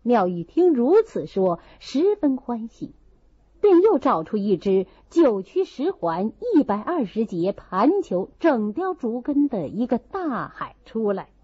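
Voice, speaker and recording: A woman reading a classic novel aloud as an audiobook, with expressive dramatized narration. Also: on the high side, a little slow, breathy edge, no echo. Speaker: audiobook reader. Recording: slightly dull, muffled recording.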